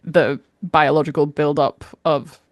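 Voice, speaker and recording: Female podcast co-host, low-pitched voice, loose rambling conversational delivery, dry close sound. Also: fairly even intonation, measured pace, dry recording, muffled recording. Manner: informal, rambling, frequent 'like', mild swearing. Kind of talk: casual podcast chat.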